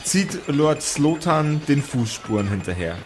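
A man's voice: on the high side, big pitch swings, unhurried, darkish tone. Man game master over background noise. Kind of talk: improvised tabletop game narration.

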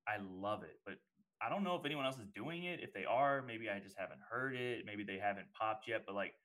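A man's voice: fairly low pitch, animated, fairly quick, a bit bright, low-quality recording. A man hosting a podcast, talking casually and enthusiastically, affirming his guest and calling him "bro" and "man". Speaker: podcast host.

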